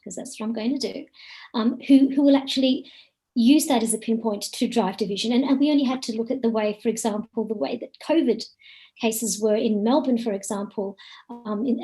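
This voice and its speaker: Female panelist, higher pitch, warm mellow tone, emphatic. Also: brisk, poor audio. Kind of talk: panel discussion.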